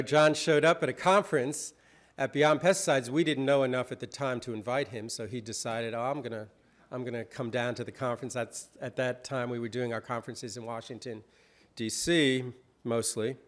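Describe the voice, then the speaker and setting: A man's voice: mid-range voice, fairly even intonation, normal speed. Man introducing a keynote speaker. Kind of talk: conference introduction. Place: lecture hall.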